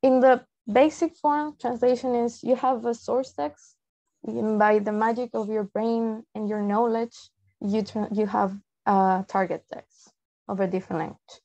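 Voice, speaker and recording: A young woman with a Peruvian Spanish accent presents in an informal, explanatory way, often rephrasing her points. Her voice is dark and relaxed. The sound is dull and lo-fi, as on a video call. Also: fairly high pitch, a little slow. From Peru. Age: young adult. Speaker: translator giving a presentation. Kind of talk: informal online lecture. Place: indoor room, on a video call.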